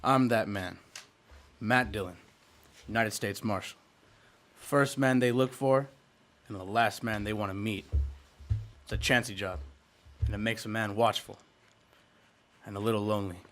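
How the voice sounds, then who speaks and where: A frontier U.S. marshal in a radio western. His voice is fairly low, his delivery terse and full of authority, watchful and a little lonely. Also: steady tone, measured pace, pauses often, fairly bright tone. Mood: brooding. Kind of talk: old-time radio western acting.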